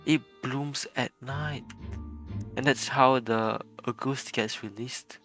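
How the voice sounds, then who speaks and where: Very low-voiced woman streamer. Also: steady tone, fairly bright tone, soft background music. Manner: halting, thinking aloud. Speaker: game streamer. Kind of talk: casual live commentary on a game.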